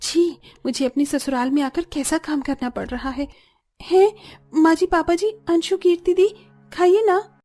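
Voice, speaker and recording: A woman acts a young Indian-family cartoon bride, dutiful yet indignant. The voice is high and soft-toned, with an expressive, plaintive delivery over music. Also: measured pace. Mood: reluctant.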